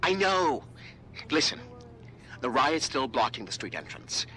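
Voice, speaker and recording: An actor playing a harried, reluctant tech expert in a video game, with an exasperated way of talking. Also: male voice, medium pitch, sing-song, a little slow, bright, forceful tone, a little hiss or hum. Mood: tense, practical. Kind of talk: dramatic video game voice acting.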